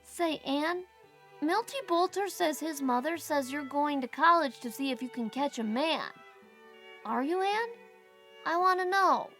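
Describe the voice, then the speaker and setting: A woman acts out a stubborn little storybook boy with a very high voice. He is blunt and insistent, full of questions, and talks in childish grammar over faint music. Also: expressive, unhurried, fairly bright tone. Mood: stubborn protest, then curious, insistent. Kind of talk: audiobook character acting.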